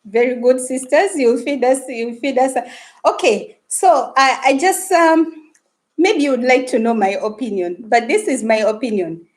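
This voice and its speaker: Woman, Luganda speaker, live-stream host, chatty and a touch high-pitched. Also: animated, a little slow. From Uganda. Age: adult woman, married. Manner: chatty, greets and reads viewer comments aloud. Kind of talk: casual live-stream chat.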